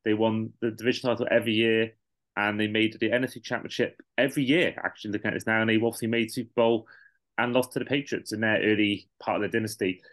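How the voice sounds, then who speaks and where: Low-voiced man hosting a sports podcast. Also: fairly even intonation, fast talker, a bit bright. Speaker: podcast host. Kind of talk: casual sports podcast discussion.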